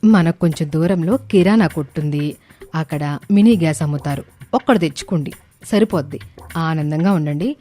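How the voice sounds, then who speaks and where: Woman playing a mellow, shrewd married aunt. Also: low voice, moderate pace, faint music behind. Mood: sly politeness. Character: shrewd married aunt, feigning sweet regret to outwit relatives. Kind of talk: animated moral story dialogue.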